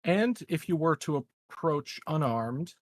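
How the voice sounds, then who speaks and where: A man in a casual tabletop roleplaying game, laying out plans with deliberate, measured phrasing. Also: fairly high pitch, lively intonation, no room sound.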